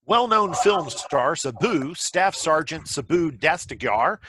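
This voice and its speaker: A man's voice: fairly high pitch, sing-song, slow pace, background noise. A man playing a 1940s radio news announcer in an amateur play reading over a video call. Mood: newsy. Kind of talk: amateur table read of scripted news.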